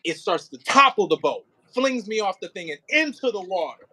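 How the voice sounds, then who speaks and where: Bright-voiced man coaching with expressive flair. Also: high voice, normal speed. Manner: expressive, demonstrates lines dramatically. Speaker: director of an audio play. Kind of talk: coaching an actor in rehearsal, casual. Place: indoors near a window, on a remote call.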